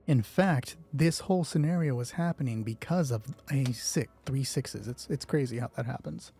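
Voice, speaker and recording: A man's voice: mid-range voice, moderate pace, warm tone. A man hosting a solo tabletop roleplay, improvising narration and thinking aloud as he corrects himself. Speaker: solo roleplay host and game master.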